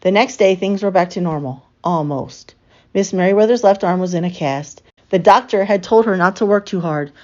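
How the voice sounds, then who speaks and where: A woman reading a picture book aloud as an expressive storyteller for children. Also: on the low side, normal speed. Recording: slightly dull, lo-fi sound.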